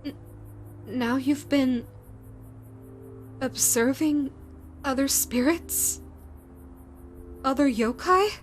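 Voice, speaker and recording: A grieving, self-loathing yokai spirit with a scarred face, as a woman acts her out, speaking high and unhurried. She stumbles and repeats her words, breaking off mid-thought as she speaks to the listener in an audio roleplay. Soft music plays faintly underneath. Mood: startled. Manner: halting, stammering, repeats words.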